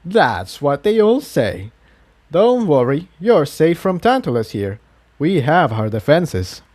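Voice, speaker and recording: A man's voice: fairly high pitch, very animated, slow, darkish tone, full character voice. A man voicing a devout, courteous pegasus townsman who explains things earnestly and formally in a fantasy audiobook. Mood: apologetic, reassuring. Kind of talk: acted dialogue in fan fiction audiobook.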